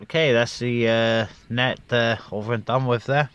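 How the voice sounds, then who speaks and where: A man chatting casually over CB radio from a parked car on open moorland, relaxed with lots of fillers. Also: medium pitch, moderate pace, lo-fi sound, background noise. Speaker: CB radio operator and vlogger. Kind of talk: casual on-air radio chat.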